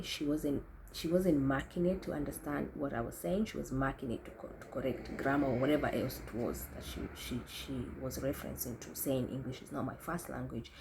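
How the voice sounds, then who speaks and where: A young woman from Kenya talks through her own experiences with a low, mellow voice. She is thoughtful and often restarts her sentences as she works out what to say. A faint ambient hiss sits behind her. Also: poor audio. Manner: thoughtful, self-correcting, frequent restarts and fillers. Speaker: speaker sharing experiences. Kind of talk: reflective talk to camera.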